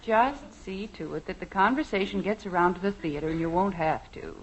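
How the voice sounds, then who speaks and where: An actress playing a calm, reassuring middle-aged American mother in a vintage radio sitcom, soothing and genteel. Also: mid-range voice, a little fast, husky. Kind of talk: 1950s radio sitcom acting. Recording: muffled vintage radio recording.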